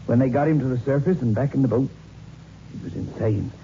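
Dark-voiced, grave village police sergeant male actor. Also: medium pitch, a little fast, lightly acted. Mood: ominous. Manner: hesitant, folksy, ominous. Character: grave local police sergeant, ominous teller of ghost tales. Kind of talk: 1960s radio drama acting. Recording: muffled, hissy old radio recording.

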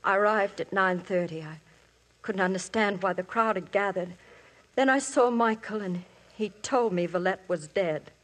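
An actress playing a young married woman who recounts her story to the police, earnest and quietly desperate, in 1950s radio drama style. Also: mid-range voice, moderate pace, natural voice, rough recording. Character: married woman, young adult, anxious and confessing. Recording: old radio broadcast, faint hiss.

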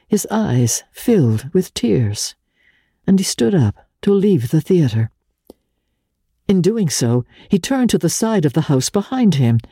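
A woman with a husky voice giving a measured, dramatic reading of literary fiction in deliberate, expressive phrases. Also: low voice, no room sound, studio quality. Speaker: reader of classic fiction. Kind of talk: dramatic literary audiobook narration.

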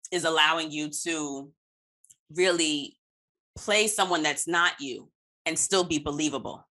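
A woman with a low-pitched yet bright, forceful voice speaks as a podcast interviewer. Her delivery is casual and reflective, affirming the guest while she works through a thought aloud. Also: unhurried.

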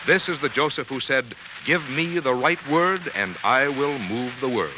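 A man's voice: mid-range voice, animated, unhurried. A man announcing on 1940s radio, reading scripted sponsor copy in a polished, earnest, oratorical way. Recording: noisy old 1940s radio recording.

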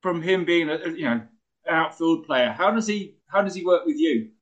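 A man's voice: fairly high pitch, animated, moderate pace, muffled recording. A man who is a guest on a fan podcast, chatting in a casual, good-humoured way. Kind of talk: casual chat.

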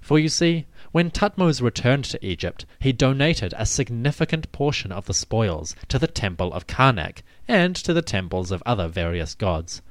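Man narrating history in a dramatic measured style. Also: medium pitch, sing-song, dry recording. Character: commanding victorious pharaoh addressing his army. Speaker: podcast host and narrator.

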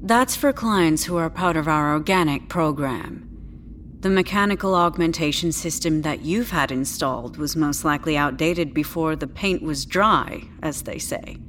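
Female sci-fi clinic doctor, lowish voice, measured, polite, faintly condescending, audio drama, light background ambience. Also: fairly bright tone, slight background noise. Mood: politely dismissive. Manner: measured, hesitant, politely professional.

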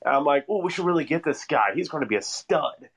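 A man's voice: a little high, animated, fast, fairly bright tone, breathy edge. A man talking casually as a fan guest on a sports call-in show.

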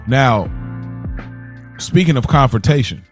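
A man co-hosting a casual podcast, explaining himself in an earnest, measured way. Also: medium pitch, animated. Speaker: podcast co-host. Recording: voice over music bed. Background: music.

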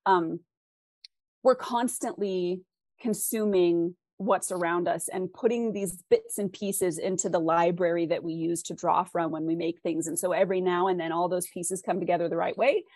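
A woman with a West Coast American accent talks in a fairly low register, recorded very dry. She is an interview guest who explains things thoughtfully and articulately, often framing points as questions. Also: fairly even intonation, normal speed, darkish tone, dry recording. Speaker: guest author.

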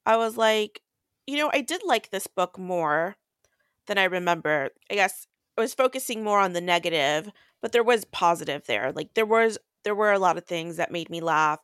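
A woman's voice: on the low side, lively intonation, moderate pace, fairly bright tone, clear, resonant tone, no room sound, clean studio sound. A woman co-hosting a podcast, casually discussing and recapping books. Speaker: podcast co-host.

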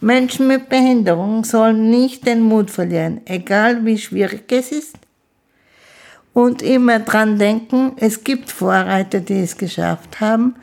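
A woman, an interview guest who speaks slowly and haltingly, often restarting her sentences. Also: fairly high pitch, gravelly, a little mellow. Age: 50s. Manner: slow, halting speech with restarts. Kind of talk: casual conversation.

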